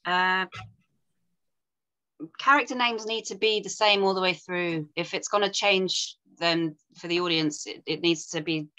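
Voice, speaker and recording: A woman's voice: fairly low pitch, steady tone, a little slow, pushed and bright. A woman giving informal script feedback as a panel reader, tentative and tactful in how she words it. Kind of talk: informal spoken critique.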